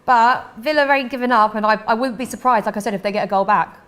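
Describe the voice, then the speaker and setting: A woman's voice: on the high side, fast talker, a bit bright. An English woman hosting a live football watchalong, mixing casual chat with running commentary on play.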